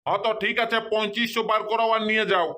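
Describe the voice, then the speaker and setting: A performer plays an old grandfatherly cartoon street seller with a bright, forceful voice. The delivery is an insistent, repetitive hawker's pitch. The accent is rural Purulia Bengali. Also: fairly even intonation, rapid-fire, natural voice. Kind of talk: comedy cartoon dialogue.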